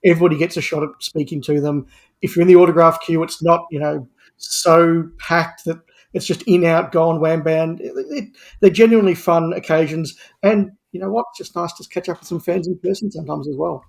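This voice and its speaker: A man's voice: fairly high pitch, steady tone, brisk, vocal fry. A man chatting casually as a guest on a podcast. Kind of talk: casual chat.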